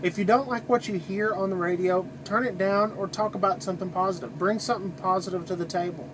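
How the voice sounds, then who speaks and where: A man's voice: sits high, fast, noisy background. A man giving a casual, rambling vlog monologue in a folksy, opinionated, informal way. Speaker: trucker video blogger.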